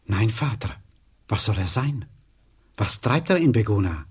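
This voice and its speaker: Respectful, husky local man. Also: lowish voice, sing-song, unhurried, heavily acted. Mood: respectful, helpful. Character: respectful, helpful male local giving a monk directions. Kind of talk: German radio drama acting.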